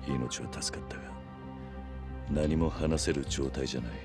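A grave, protective yakuza man for a video game cutscene. His voice sits extremely low. He speaks in short, measured, serious phrases over clearly audible music. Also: steady tone. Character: stoic, protective middle-aged yakuza man, gruff and grave. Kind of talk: dramatic Japanese video game acting.

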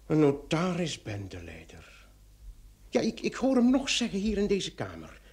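A man playing an excitable, wry police commissioner in an old Dutch radio drama, with a theatrical delivery. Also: on the high side, normal speed. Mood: wry, amused.

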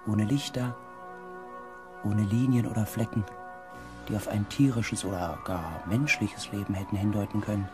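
Male actor as reflective space explorer telling his report, measured, mid voice, music behind. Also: medium pitch, close to an everyday voice. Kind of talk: dramatic scripted narration, science fiction radio play.

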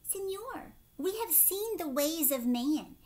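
A woman voicing a troop of monkeys who urgently warn a man, loud and pleading. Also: very high voice, expressive, takes it slowly, pitched above the performer's own voice, exaggerated voice.

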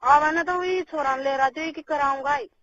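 A woman's voice: high-pitched, normal speed. A woman with a Rajasthani accent, chatting casually and privately as a caller on the phone. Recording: dull lo-fi phone call, background noise.

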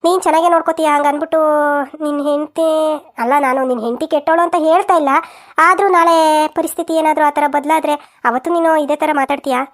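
A woman's voice: high voice, fairly even intonation, a little fast. A woman voicing a frank, protective older village aunt in a cartoon family drama, emphatic and colloquial, with a rural southern Karnataka accent. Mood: firm, advising.

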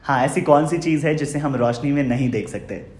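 A man's voice: mid-range voice, a little fast, darkish tone, a little hiss or hum. A man in his twenties, politely and a little hesitantly hosting a mock-formal phone quiz as a prank caller. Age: 20s. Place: small home room, indoors. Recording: roomy indoor echo.

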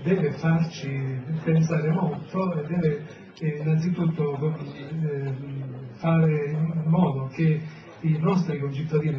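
Hesitant Sicilian mayor, distant echoey hall. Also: male voice, fairly high pitch. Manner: hesitant, many fillers. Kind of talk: public speech at a press event. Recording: roomy, distant, dull, noisy.

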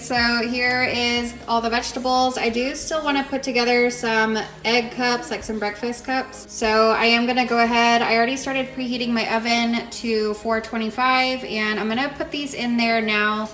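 Chatty woman narrating her kitchen prep. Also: a little high. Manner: relaxed, chatty, many ums and restarts. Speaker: cooking vlogger. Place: home kitchen.

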